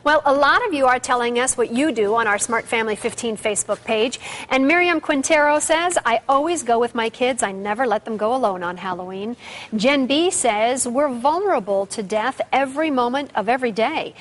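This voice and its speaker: A woman's voice: on the high side, a bit bright. A woman co-hosting a local TV morning show, chatting casually and reading viewer comments aloud. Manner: upbeat, quick, reads viewer comments aloud. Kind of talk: casual studio chat. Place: TV studio.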